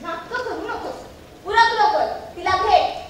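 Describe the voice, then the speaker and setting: A woman's voice: extremely high pitch, unhurried, a bit bright. A woman playing a bossy middle-aged mother scolding and commanding her grown son in a stage play. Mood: nagging. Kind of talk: theatrical stage acting. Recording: echoey stage hall from far, faint noise.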